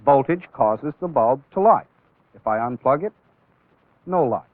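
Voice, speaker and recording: A man giving an instructional demonstration as a training-film instructor, explaining deliberately step by step and stressing key words, on an old film soundtrack. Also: medium pitch, expressive, no room sound, narrow, tinny audio.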